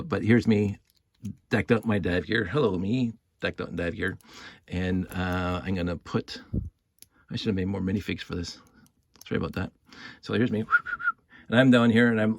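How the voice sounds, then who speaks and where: A man's voice: lowish voice, no room sound. Rambling man telling a story, dry sound. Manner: rambling, repeats words and phrases. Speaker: storyteller and video host. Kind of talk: casual improvised storytelling with toy props.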